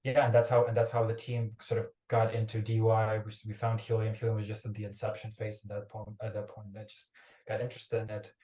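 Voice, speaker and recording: A young man speaks as a founder on a podcast. His voice is low-set and flat, and the call audio is roomy and echoey. He rambles, doubling back over his words as he goes. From Ukraine. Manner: rambling, restarts phrases, quick asides. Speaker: startup founder guest. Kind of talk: casual podcast interview. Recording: roomy echoey remote recording.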